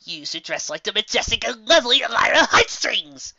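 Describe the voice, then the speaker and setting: A man's voice: very high-pitched, sing-song, moderate pace, vocal fry, pushed and bright, no room sound, lo-fi sound, pitched above the performer's own voice, heavily acted. Man doing a showy, pompous female pony magician. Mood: grandly indignant. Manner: theatrical, boastful.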